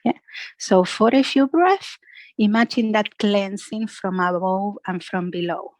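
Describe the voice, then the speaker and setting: A woman's voice: medium pitch, measured pace, dry recording, low-quality recording. Woman guiding a dry-sounding relaxation class. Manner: gentle, instructive, often adding a questioning "yeah?". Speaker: yoga and relaxation instructor. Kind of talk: guided relaxation class with breathing and imagery.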